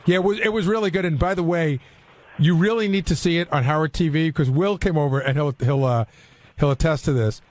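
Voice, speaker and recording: A man's voice: on the high side, brisk. A man talking casually as a panelist on a radio show, candid and ready to argue his point.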